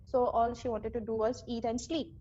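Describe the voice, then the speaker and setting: A woman's voice: on the high side, little pitch movement, normal speed, dark tone, highs cut off. A young woman with an Indian accent casually retelling a story, acting out what people said, with music behind her. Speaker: guest storyteller, cabin crew.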